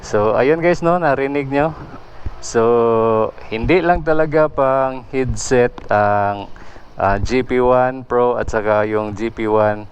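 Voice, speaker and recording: A man's voice: mid-range voice, slow pace, dull audio, a little hiss or hum. A Filipino man presenting motorcycle gear in a casual, explanatory way, often addressing his viewers. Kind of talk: casual product demonstration.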